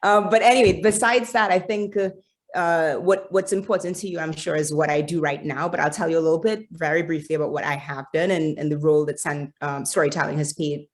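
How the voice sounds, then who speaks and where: A woman's voice: low-pitched, steady tone, brisk. A woman from Trinidad and Tobago with a Trinidadian accent, introducing herself on a panel in a chatty, self-assured way over a video call.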